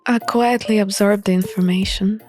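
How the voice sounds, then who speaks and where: A woman's voice: medium pitch, steady tone, normal speed, a little mellow. A woman reading first-person story narration for a visual novel playthrough. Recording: music under the voice.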